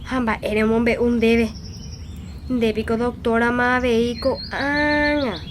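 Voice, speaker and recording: A woman's voice: fairly high pitch, steady tone, takes it slowly, a little hiss or hum. A woman voicing a gossipy young Paraguayan woman in a radio drama, with a Paraguayan accent. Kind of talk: radio drama acting in Jopara.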